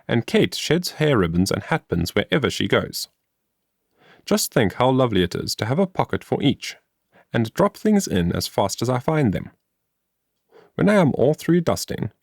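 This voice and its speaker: A man's voice: medium pitch, very animated, moderate pace, vocal fry, no echo, studio quality. A man voicing a cheerful, industrious young woman in a storybook, in a chatty, earnest manner. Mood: cheerful, proud. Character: cheerful, industrious young woman explaining her homemade invention.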